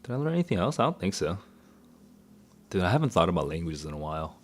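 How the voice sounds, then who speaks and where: A man's voice: sits low, big pitch swings, fast. A man in his thirties, a tutor, answering viewer questions in a candid, rambling livestream chat. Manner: candid, rambling, thinks aloud. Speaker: tutor and educator. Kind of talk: casual livestream Q&A.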